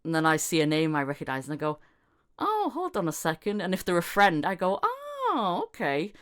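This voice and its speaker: A woman's voice: lowish voice, huge pitch swings, moderate pace, a bit bright. A woman hosting a casual podcast chat about voice acting. She laughs often and acts out little quoted exchanges as she talks. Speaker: podcast host.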